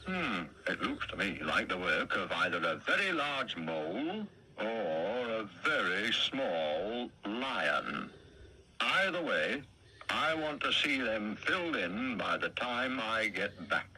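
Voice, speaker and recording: Guy putting on a pompous bossy constable, lower voice, bright tone. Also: big pitch swings, slow pace, a little hiss or hum, heavily acted, rough recording. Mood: officious. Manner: pompous, officious. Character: pompous male village constable, officious. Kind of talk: storybook reading.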